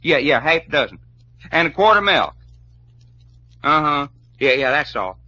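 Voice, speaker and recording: A folksy Southern adventurer in a 1940s radio serial, eager and colloquial. The voice is fairly high and husky, with lively swings, on a dull old radio recording. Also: male voice, normal speed, dry recording, full character voice. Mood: hungry, insistent. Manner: drawling, folksy, colloquial speech. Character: folksy, eager, hungry adventurer pal named Doc.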